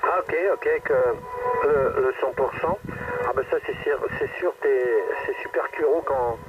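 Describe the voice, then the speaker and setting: A man's voice: mid-range voice, normal speed, lo-fi sound. A man, an amateur radio operator, chatting casually through a radio transmission. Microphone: radio microphone heard through a receiver. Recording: very noisy, band-limited, distant radio link.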